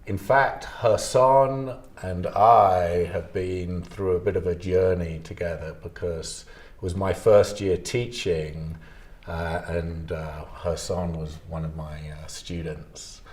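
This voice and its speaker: Male teacher, deep voice, slow and measured with ums, roomy echo. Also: fairly even intonation, a little mellow. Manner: measured, with hesitant "um"s. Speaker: English language teacher. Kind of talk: interview answers. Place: school classroom.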